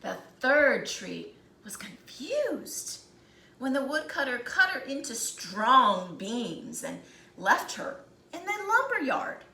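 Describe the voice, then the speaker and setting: A woman reads a children's story in a high, very animated voice. She takes her time with dramatic phrasing, with some creak at the edges. The room is echoey with faint background noise. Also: slow pace. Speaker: storybook reader. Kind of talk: expressive children's read-aloud narration.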